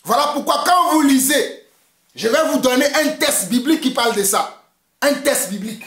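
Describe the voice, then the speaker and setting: A man's voice: extremely high pitch, lively intonation, moderate pace, a bit bright, reverberant. A man from Togo whose first language is Mina, preaching an emphatic, fiery sermon and repeating his points. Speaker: pastor.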